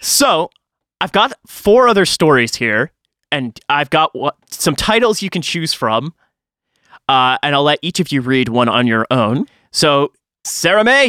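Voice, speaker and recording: Male podcast host, crisp bright tone, very dry sound, casual conversational delivery. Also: fairly high pitch, no room sound.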